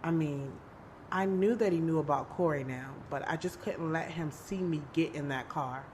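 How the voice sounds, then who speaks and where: A woman's voice: sits low, moderate pace, background noise, close to an everyday voice. A woman playing a frank, defensive young woman who narrates her own love-triangle drama in an audio series, with music under her voice. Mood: reflective. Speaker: narrator and lead character. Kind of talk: audio drama acting with first-person narration. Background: background music.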